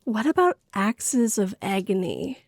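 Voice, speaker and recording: A woman's voice: mid-range voice, sing-song, measured pace, darkish tone, no room sound. A woman playing an eager, pushy female character in a horror story's dialogue. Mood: teasing, excited.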